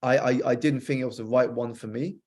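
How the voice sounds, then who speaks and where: A man's voice: medium pitch, steady tone, a little fast, darkish tone. British man telling his story. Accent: British, softened by years with an American spouse. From United Kingdom. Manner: fluent, reflective storytelling with frequent repeated starts. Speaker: author and guest. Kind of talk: podcast interview storytelling.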